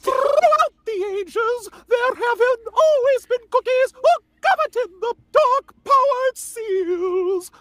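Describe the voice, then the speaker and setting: A woman playing an ancient, excitable fairy monarch with grandiose, theatrical declaiming, as in a live fantasy table read. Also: very high-pitched, dry recording, full character voice. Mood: stately. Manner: grandiose, slow, dramatic declaiming.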